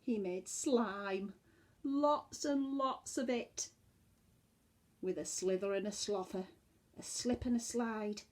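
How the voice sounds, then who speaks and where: A woman reading a storybook to young children as a teacher would, expressively, with hushed, chatty asides to her listeners. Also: medium pitch, takes it slowly, pauses often.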